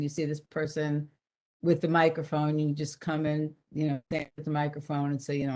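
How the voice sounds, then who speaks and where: A woman's voice: low-pitched, a little mellow. A woman program director giving a conversational, explanatory webinar presentation over a video call.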